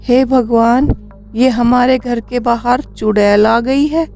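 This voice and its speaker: A woman's voice: fairly high pitch, steady tone, slow pace, a little mellow. A woman voicing a worried, fearful village wife in a horror cartoon story, with an anxious delivery. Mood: frightened. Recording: music behind the voice.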